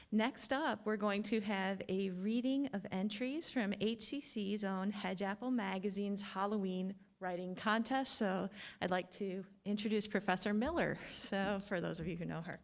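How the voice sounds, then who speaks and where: A woman speaking informally as the host of an event, making announcements and introductions. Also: medium pitch.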